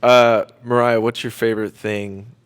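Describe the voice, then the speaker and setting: A man's voice: lowish voice, level tone, unhurried, clean tone. A young man casually interviewing classmates as the host of a student radio Q&A. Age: young adult.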